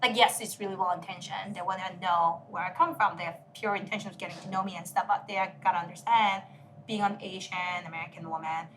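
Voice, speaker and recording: A woman's voice: on the low side, steady tone, fast talker, a bit bright, slight background noise, rough recording. A woman, born in Korea, talking as a podcast guest in an earnest, explanatory way, often checking in with "right?". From South Korea. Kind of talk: casual conversation.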